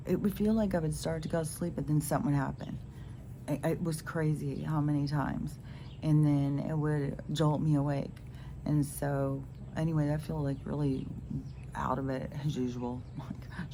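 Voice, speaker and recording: Low gravelly stuffed-up woman outdoors. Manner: meandering, many fillers and restarts. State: stuffed-up nose. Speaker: spiritual vlogger. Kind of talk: rambling casual monologue. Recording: noisy outdoor phone recording.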